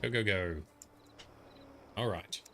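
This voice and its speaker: Bright-voiced man chatting while gaming. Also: fairly low pitch, takes it slowly, faint music behind. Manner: relaxed, chatty and supportive, talking to chat while playing. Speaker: livestreamer. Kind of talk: casual gameplay commentary.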